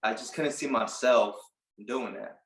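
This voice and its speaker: A man in his late twenties from Puerto Rico, a barber telling his story in a casual interview, relaxed and halting with many fillers. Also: medium pitch, reverberant, low-quality recording. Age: late 20s. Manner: relaxed, halting, lots of "like" and restarts. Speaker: barber and business owner, guest.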